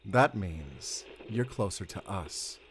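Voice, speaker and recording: An actor playing a weary but determined, defiant werewolf hunter in a cinematic audio drama, speaking in an earnest, measured, tense way. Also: male voice, low voice, expressive. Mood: shrewd. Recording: noisy outdoor night ambience.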